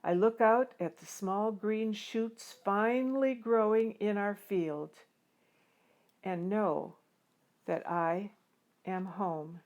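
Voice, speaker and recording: A woman's voice: mid-range voice, takes it slowly, darkish tone. A woman voicing an earnest, determined teenage farm boy who tells his own story in a heartfelt storybook reading. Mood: resolute, wistful. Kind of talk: dramatic first-person storybook reading.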